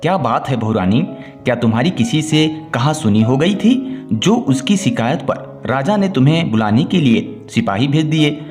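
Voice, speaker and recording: A man's voice: mid-range voice, animated, normal speed, lightly acted. Worried older mother-in-law, read by a man. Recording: music bed under the voice.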